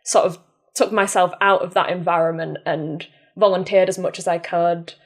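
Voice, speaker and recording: A woman from Manchester with a softened Mancunian accent, talking reflectively as a guest in a conversational interview. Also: on the low side, fairly even intonation, moderate pace. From Manchester, England. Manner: reflective, soft-spoken, frequent "mm" and "yeah". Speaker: podcast guest.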